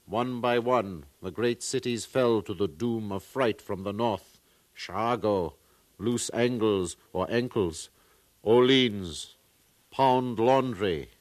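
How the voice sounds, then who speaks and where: A man's voice: lowish voice, takes it slowly, no echo. A man playing a pompous, solemn far-future archaeologist in a 1950s radio drama, lecturing like an orator. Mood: solemnly certain.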